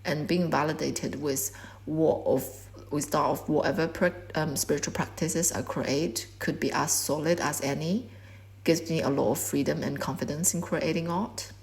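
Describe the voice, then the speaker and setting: A woman in her twenties whose first language is Korean gives a measured, reflective talk about her art. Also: low voice, steady tone, vocal fry. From China. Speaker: artist. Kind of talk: prepared artist talk.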